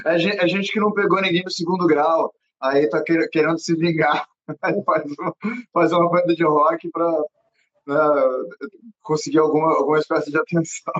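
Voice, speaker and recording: A man's voice: a little high, flat delivery, normal speed, long pauses, a bit bright. A young man from Brasília hosting a casual interview, rambling with many fillers and restarts and laughing often. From Brazil, Brasília. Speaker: podcast host. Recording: dull lo-fi audio.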